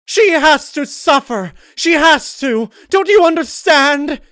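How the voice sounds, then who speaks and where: A vengeful, ladylike pony voiced by a man for a dark storybook tale. The pitch is lifted above his usual voice, and the diction is formal, theatrical and condescending, with chilly politeness over an unhinged streak. The sound is very dry. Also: expressive, slow pace, no echo, exaggerated voice. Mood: frantic, shrieking.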